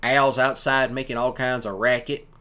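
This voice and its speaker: A man's voice: medium pitch, level tone, normal speed, bright, forceful tone, smooth. A man giving casual, rambling commentary in a folksy, colloquial way, like a streamer talking to listeners. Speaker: streamer and ASMR audio creator.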